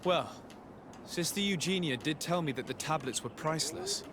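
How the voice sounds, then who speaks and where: Guy as a courteous game investigator, noble and formal, slightly high voice, noisy background. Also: lively intonation, normal speed, close to an everyday voice. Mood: probing. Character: polite, composed male noble envoy investigating a theft. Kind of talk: video game cutscene acting.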